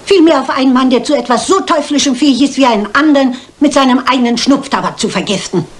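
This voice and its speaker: An actress playing a shrewd, bossy elderly lady detective who reasons aloud briskly and with authority in a German crime drama. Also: high-pitched, close to an everyday voice. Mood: deliberate, insistent.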